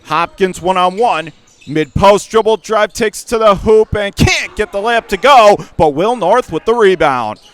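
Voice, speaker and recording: A man calls a basketball game play by play for radio, his voice smooth and resonant and pitched a little high. He talks quickly and surges to shouts when the action heats up, with a ball bouncing somewhere behind him. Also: expressive. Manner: rapid, rising to shouts on big plays.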